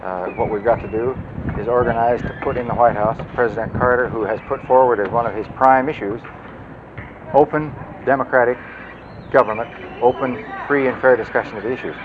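A man campaigning for office and answering a voter's question in measured political phrasing, with a few stumbles. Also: medium pitch, steady tone. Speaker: political candidate. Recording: lo-fi outdoor recording with street noise.

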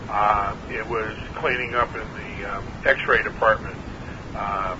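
Sixties man, interviewee, halting and throaty; muffled, hissy phone recording. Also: fairly low pitch, fairly even intonation. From New Hampshire. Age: 60s. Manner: hesitant, many uh fillers, measured. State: clearing his throat, frog in throat. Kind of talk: oral history interview.

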